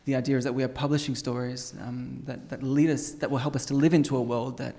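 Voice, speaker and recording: Male interviewer, earnest, quick delivery with a gravelly edge; repeats words as he goes. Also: mid-range voice, level tone, dark tone. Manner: fast, earnest, with frequent restarts. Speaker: interviewer, magazine editor. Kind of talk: interview conversation.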